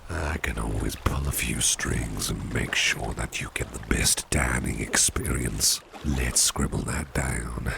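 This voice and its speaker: ASMR roleplay casting: male actor as attentive, coaxing boss, very low slow voice, noisy background. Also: vocal fry, heavily acted. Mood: obliging. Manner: unhurried, coaxing, repeats his phrases. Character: genial, attentive male boss, courting his dinner date.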